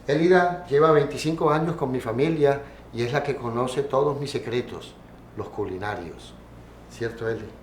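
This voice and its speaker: A man hosting a cooking program, narrating in a calm, reflective, poetic way, from Colombia. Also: mid-range voice, normal speed, slight background noise. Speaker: host and cook. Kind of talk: scripted narration over a cooking show.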